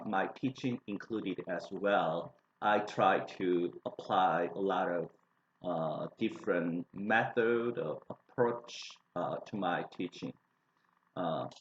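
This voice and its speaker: A man in his forties with a Korean accent, speaking English as a second language, talking about his life in a hesitant way with many pauses and restarts. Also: on the low side, fairly bright tone, echoey room, lo-fi sound, slight background noise. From South Korea. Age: 40s. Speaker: English teacher. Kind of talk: casual personal monologue.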